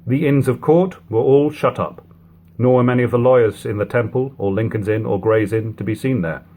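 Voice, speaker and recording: A man reading an old literary book aloud in a measured, phrase-by-phrase narration, on a lo-fi home recording. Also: mid-range voice, warm tone. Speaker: audiobook reader. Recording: lo-fi home recording, background hum, noise.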